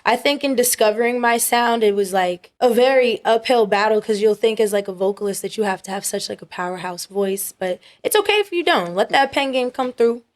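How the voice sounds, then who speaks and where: A young woman with a high-pitched voice, a singer-songwriter talking casually as an interview guest, relaxed, full of fillers and quick to laugh.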